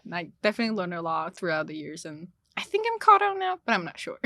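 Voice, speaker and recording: A woman's voice: mid-range voice, expressive, brisk, fairly bright tone, dry recording. A young woman from China with a slight Chinese accent, a native Chinese speaker chatting casually as a podcast guest, laughing often and restarting her phrases. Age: young adult. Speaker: guest, international student. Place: small studio.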